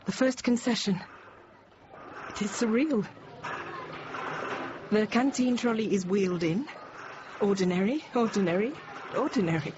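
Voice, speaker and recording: A woman playing a hushed, composed hostage teacher. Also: medium pitch, a little slow, pauses often, lightly acted. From France. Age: 30s. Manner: quiet, controlled, often whispered. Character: young female teacher held hostage, composed but frightened. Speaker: narrator. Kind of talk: first-person dramatic narration. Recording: dull noisy radio drama recording.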